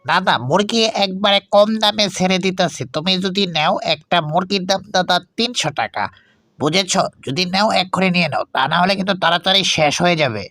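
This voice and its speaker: A man's voice: high voice, a little fast, pushed and bright, no echo. A man voicing a pushy village poultry seller in a children's cartoon, with an insistent haggling manner.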